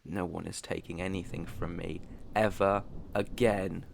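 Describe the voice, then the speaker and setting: A man's voice: deep voice, level tone, a little slow, gravelly, a little hiss or hum, exaggerated voice. A British man playing an ancient vampire master in an ASMR roleplay, proud, protective and tender, with an earnest, slightly formal way of talking. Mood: indignant anger. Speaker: roleplay voice performer.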